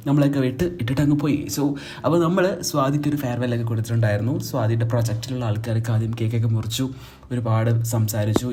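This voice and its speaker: Young male vlogger, chatty and teasing, quick delivery, mellow tone. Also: mid-range voice. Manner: chatty, teasing, mixes in English phrases. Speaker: vlog host. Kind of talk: casual vlog narration to viewers. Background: background music.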